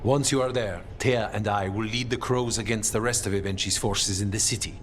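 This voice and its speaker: An actor playing a grim, determined assassin commander in a video game, terse and serious in manner. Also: male voice, lowish voice, fairly even intonation, brisk, slight background noise, close to an everyday voice.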